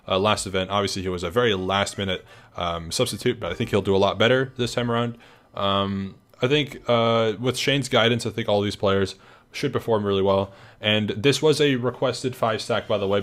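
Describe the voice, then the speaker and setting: Casual young male host, under the weather and hoarse, upbeat and rambly, low-ish voice. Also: a bit bright. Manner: upbeat, rambling with many fillers. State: sick, losing his voice. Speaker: event host announcing teams. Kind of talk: casual video announcement.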